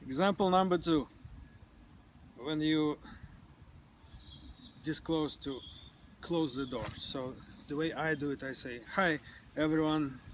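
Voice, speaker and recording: A man with a stutter, talking slowly and haltingly with many fillers in a casual podcast discussion. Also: on the high side. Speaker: podcast guest. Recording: clear background noise.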